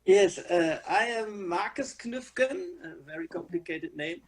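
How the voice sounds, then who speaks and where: A man's voice: high voice, animated, measured pace, breathy edge. A man in his fifties with a German accent, an actor introducing himself in a good-humored way over a video call. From Ruhr area, Germany. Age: 50s.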